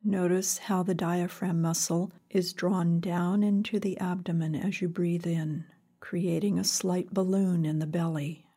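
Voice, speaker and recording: A woman leading a guided meditation in a calm, gentle, unhurried way with long pauses, her voice soft and breathy. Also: fairly low pitch. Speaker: meditation teacher. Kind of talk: guided body scan meditation.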